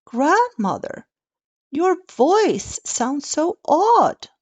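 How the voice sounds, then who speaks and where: A woman's voice: high-pitched, sing-song, drawn-out words, pitched above the performer's own voice, exaggerated voice. A woman voicing a sweet, innocent little girl from a storybook, with a singsong, wide-eyed manner. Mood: innocent, puzzled.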